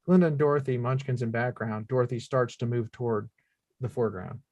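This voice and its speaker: A man's voice: medium pitch, fairly quick, darkish tone, dry recording. A man reading screenplay action lines as scripted narration at a table read, plain and factual with small stumbles. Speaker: stage-directions reader.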